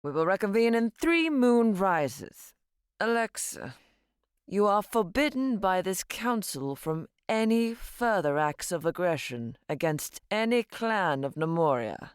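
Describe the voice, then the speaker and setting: A commanding vampire council chairwoman, very slow and deliberate. Her mid-pitched voice is formal and stern, as in a fantasy audio drama where she keeps order. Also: very animated, clear, resonant tone, dry recording, clean studio sound.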